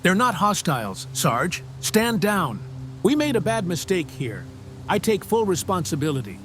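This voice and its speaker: A man's voice: high-pitched, big pitch swings, a little slow, fairly bright tone, slight background noise, heavily acted. A man playing a level-headed, sardonic soldier medic with a blunt, dry manner in an audio comic. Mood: blunt, regretful. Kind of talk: dramatized audio comic dub.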